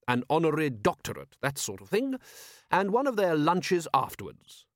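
A man's voice: mid-range voice, dry recording, clean studio sound, lightly acted. A man voicing a shrewd, coolly commanding city ruler in an audiobook, precise, formal and sardonic. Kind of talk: audiobook character voice.

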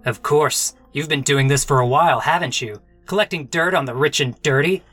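An actor playing a sardonic, melodramatic hard-boiled private detective in a parody radio mystery. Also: male voice, a little high, normal speed, fairly bright tone, no room sound, soft background music. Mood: probing, knowing.

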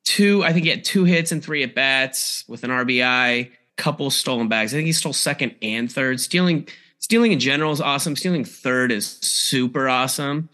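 An American man with a slightly hoarse, tired voice, hosting a podcast and chatting casually about sports. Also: mid-range voice, moderate pace, hardly any pauses, pushed and bright. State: a little tired and hoarse from cheering. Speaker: podcast host.

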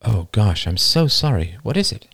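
A man voicing a gentle, earnest and inquisitive young woman with a soft, concerned manner. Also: on the low side, sing-song, normal speed, husky, dry recording, clean studio sound, exaggerated voice. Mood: gentle, curious.

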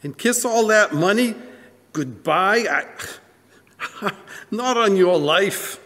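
A man's voice: high-pitched, lively intonation, drawn-out words, fairly bright tone, exaggerated voice. Scheming small-time gangster, male actor, tough-guy slang, live radio drama in a reverberant hall. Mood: defiant, scornful.

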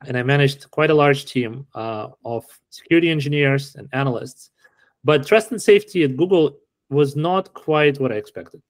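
A middle-aged man from Ukraine answering interview questions in a relaxed, explanatory way with frequent fillers. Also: mid-range voice, normal speed, no echo. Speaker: podcast guest.

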